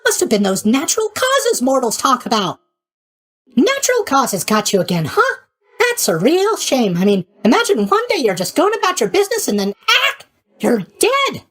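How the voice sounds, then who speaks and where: Casting: a boyish, naive god of sleep in a video game, extremely high-pitched and wildly swooping, bubbly and scatterbrained. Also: male voice, huge pitch swings, normal speed, a bit bright, full character voice. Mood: mock sympathy. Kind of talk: animated video game voice acting.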